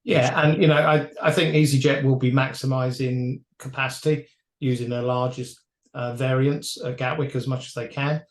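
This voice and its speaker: A man's voice: mid-range voice, fairly even intonation, moderate pace. A man talking conversationally as an aviation industry expert, opinionated and wry in his delivery. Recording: roomy, echoey sound.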